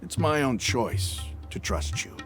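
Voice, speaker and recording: A man's voice: mid-range voice, very animated, a little slow, a bit bright, faint music behind. A man playing a passionate mercenary leader in a video game, with an earnest, persuasive, emphatic delivery. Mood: earnest conviction. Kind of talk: video game cutscene voice acting.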